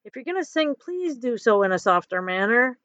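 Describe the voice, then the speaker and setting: A woman's voice: on the high side, sing-song, fairly quick, a bit bright, no room sound, dull audio, pitched above the performer's own voice. A woman voicing a decisive, patient, strong construction worker man in a story, firm and matter-of-fact. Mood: exasperated.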